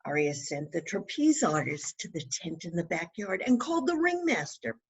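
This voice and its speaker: A woman with a husky voice reading a children's story aloud with dramatic emphasis and little asides to young listeners. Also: on the low side, sing-song, moderate pace.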